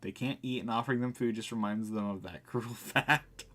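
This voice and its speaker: A man's voice: mid-range voice, normal speed, a bit bright. A man doing casual live let's play commentary, chatting informally and reading game text aloud as he reacts. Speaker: game streamer.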